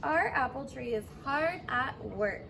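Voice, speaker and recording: A woman's voice: high voice, lively intonation, slow, fairly bright tone. A woman in her thirties or forties reads a picture book aloud to young children, expressively, drawing out words and adding simple asides and questions. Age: 30s or 40s. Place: outdoors in a fenced backyard. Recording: outdoor recording with clear background noise.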